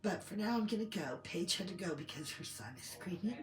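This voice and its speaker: A woman with a low voice, a little hoarse from a lingering cold, talks through her opinions in a measured, thinking-aloud way. She sounds like a casual commentary host. The recording carries a roomy echo. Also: animated. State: getting over a cold, slightly hoarse.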